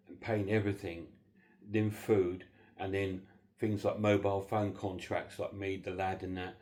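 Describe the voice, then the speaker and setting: Middle-aged vlogger, low and mellow, halting and sincere, slightly congested. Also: male voice. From southern England. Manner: rambling, earnest, hesitant with many fillers. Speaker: horror film channel host. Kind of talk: casual holiday video message.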